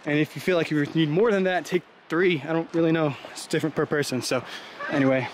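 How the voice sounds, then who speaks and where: A young man with a slightly high voice rambles and jokes as he hikes through the woods, sounding out of breath. He films himself at arm's length, and outdoor noise is clearly heard behind him. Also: fairly even intonation, fast talker. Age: young adult. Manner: chatty, joking, rambling with fillers. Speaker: vlogger. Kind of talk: casual vlog while hiking. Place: wooded trail outdoors. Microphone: handheld camera at arm's length.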